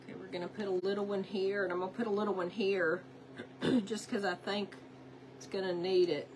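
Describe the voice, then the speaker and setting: A woman's voice: mid-range voice, little pitch movement, fairly quick, a little hiss or hum, rough recording. A woman, sounding stuffed up from a cold, chatting casually to viewers while she works on a craft. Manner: chatty, talks to herself while working, greets viewers by name. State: congested from a cold. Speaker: craft livestream host. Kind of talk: casual craft livestream chat.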